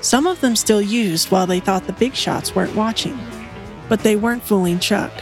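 A woman's voice: mid-range voice, moderate pace, faint music behind. Woman narrating a documentary podcast.

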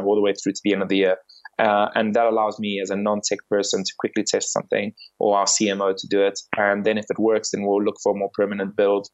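Low gravelly-voiced man with muffled audio. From Bulgaria, raised in South Africa. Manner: measured, explanatory, with frequent fillers and restarts. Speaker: company founder and guest. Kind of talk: podcast interview.

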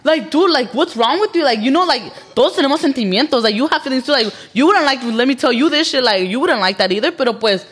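Young female podcast guest: fast, slightly high voice, candid, profane, animated storyteller. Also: breathy edge. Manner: candid, swears freely, acts out quoted dialogue in storytelling. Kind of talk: casual podcast chat.

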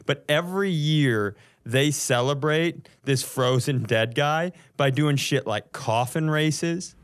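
A man's voice: fairly high pitch, takes it slowly. A man hosting a comedy podcast, telling a story from notes in a casual, profane way and often chuckling as he goes.

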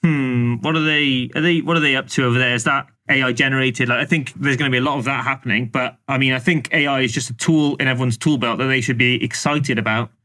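A man's voice: mid-range voice, fairly even intonation, quick pace, few pauses, pushed and bright. A man speaking as a podcast guest in a casual, musing tech discussion.

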